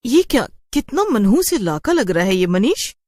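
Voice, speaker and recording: A woman's voice: on the high side, sing-song, moderate pace, mellow, dry recording, studio quality, voice pushed up. A woman voicing an anxious, complaining young working wife in a cartoon story. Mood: complaining, weary. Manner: exclaiming, fretful. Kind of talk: animated cartoon story voice acting.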